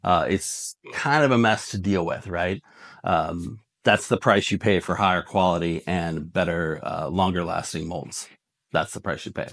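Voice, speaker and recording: Low-voiced man giving blunt casual advice. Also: a little slow, fairly bright tone. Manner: blunt, colloquial, often tags points with "right?". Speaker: video host and reviewer. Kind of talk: casual product advice to camera. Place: indoor workshop room with shelves of parts.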